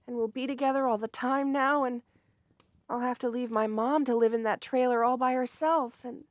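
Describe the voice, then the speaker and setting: A woman playing a shy, sweet, lovestruck young woman in an intimate ASMR romance roleplay, gentle and flustered, often hesitating mid-phrase. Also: on the high side, no echo, close to an everyday voice.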